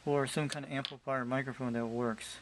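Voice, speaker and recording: Casting: man, average pitch, friendly CB hobbyist, casual slangy delivery, noticeably noisy homemade recording. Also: fairly even intonation, brisk. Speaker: amateur CB radio operator. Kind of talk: casual hobbyist chat and radio talk. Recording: clearly noisy home video recording.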